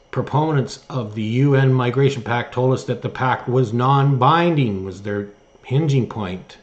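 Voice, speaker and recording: Man giving halting, emphatic news commentary. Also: medium pitch, a little mellow, dull audio. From Canada. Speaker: host of an online news commentary show. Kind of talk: opinion commentary, partly reading articles aloud.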